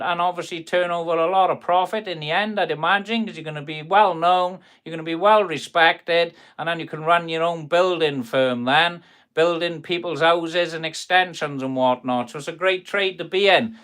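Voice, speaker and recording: South welsh bloke in his workshop, chatty and rambly, fairly high bright voice. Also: clear, resonant tone. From South Wales. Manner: friendly, rambling, calls viewers "boys" and "buddy". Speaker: carpenter streaming live. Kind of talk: chatty live stream, answering viewers' chat.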